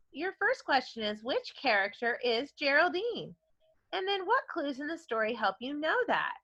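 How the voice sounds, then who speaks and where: A woman's voice: high voice, fairly bright tone. A woman speaking as an elementary teacher, instructing young children and putting questions to them during a read-aloud lesson. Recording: dull, lo-fi sound.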